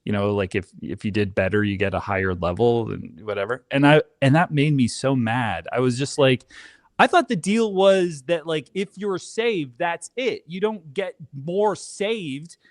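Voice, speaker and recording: A man telling personal stories as a podcast guest in a casual, animated, emphatic way, often interrupting himself. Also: medium pitch, unhurried, no echo, studio quality. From Canada.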